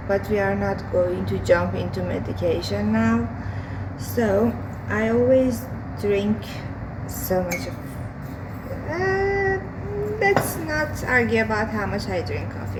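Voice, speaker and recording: Hoarse woman, casual home vlog, slow unhurried talk, traffic noise from open windows. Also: mid-range voice. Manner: slow, unhurried, hesitant with many fillers. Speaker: vlogger. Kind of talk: casual daily vlog while cooking. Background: street and vehicle noise from outside.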